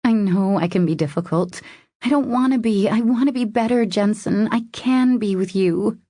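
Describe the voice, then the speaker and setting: A woman narrator voicing a guarded, vulnerable woman in an audiobook romance, speaking softly and hesitantly as she confides. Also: medium pitch, normal speed, dry recording, studio quality, pitched above the performer's own voice, lightly acted. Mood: apologetic, hopeful. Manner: hesitant, confessional, soft. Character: vulnerable, guarded young woman opening up to her lover.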